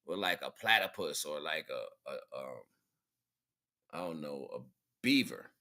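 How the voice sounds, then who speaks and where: A man's voice: very deep voice, lively intonation, measured pace, sharp tone. A man giving casual livestream commentary in informal, slangy talk, repeating phrases for emphasis as he hosts. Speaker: livestream host.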